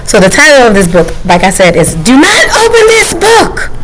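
A woman teacher reading a picture book aloud to children, gentle and questioning. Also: high-pitched, huge pitch swings, moderate pace, noisy background.

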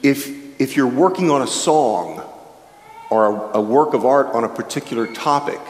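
A man's voice: mid-range voice, moderate pace, slight background noise. An older man giving a reflective chapel sermon at a lectern, gesturing widely as he talks. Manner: reflective, animated with wide gestures, shifting pace. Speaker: chapel speaker. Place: large chapel with an audience. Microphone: stand microphone at a lectern. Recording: echoey chapel heard from far off.

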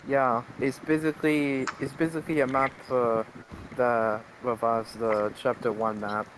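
A man's voice: medium pitch, little pitch movement, measured pace, noisy background. A man in a casual gaming voice chat, rambling and restarting his sentences. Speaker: player in voice chat.

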